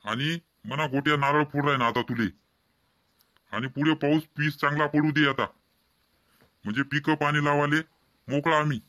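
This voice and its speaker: A man's voice: a little high, fairly even intonation, normal speed, pauses often, sharp tone. A man voicing a proud, practical farmer father in a comedy cartoon, plain-spoken and directing, with an Ahirani accent. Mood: earnest.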